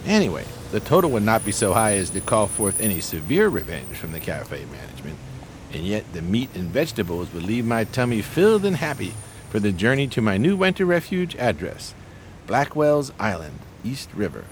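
A man's voice: on the low side, sing-song, normal speed, slight background noise. An actor playing a scheming homeless man with genteel, pompous manners and florid diction in a radio drama. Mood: grand, savoring.

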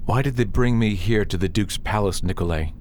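Man acting a grimly determined wounded nobleman, low-voiced. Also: a little fast, a little mellow, slight background noise, lightly acted. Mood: subdued. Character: grieving young nobleman, wounded, grimly set on vengeance. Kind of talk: science fiction audio drama acting.

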